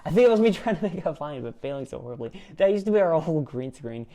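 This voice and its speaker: A man's voice: fairly high pitch, very animated, fast, warm tone, faint music behind. Male vlog host, casual, self-deprecating, chatty reaction voice. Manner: rambling, self-mocking, frequent laughs and restarts. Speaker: channel host.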